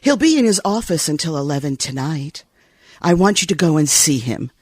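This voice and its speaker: Woman playing a husky, cool femme fatale. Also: low-pitched, lively intonation, normal speed, a little mellow, no echo, studio quality. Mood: firm, commanding. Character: cool, sultry, tough femme fatale client. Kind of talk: old-time radio detective acting.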